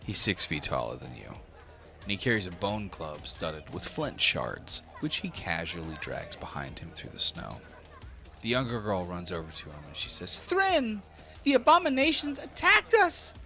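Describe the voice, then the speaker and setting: A man's voice: deep voice, big pitch swings, normal speed, pushed and bright, soft background music. A man narrating a tabletop fantasy game as game master, in a casual manner. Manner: casual, swearing.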